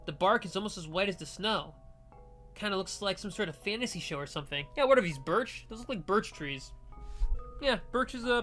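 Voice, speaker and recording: A game player with a casual, expressive delivery, narrating and commenting on a visual novel. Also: medium pitch. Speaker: game streamer or let's player.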